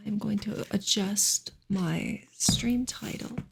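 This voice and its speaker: A woman reading aloud in a soft, breathy, whispery ASMR style, slow and deliberate. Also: medium pitch.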